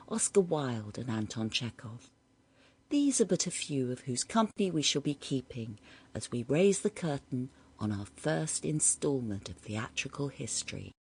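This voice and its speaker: Brief: woman narrator, very low husky voice, lively intonation. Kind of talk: scripted narration.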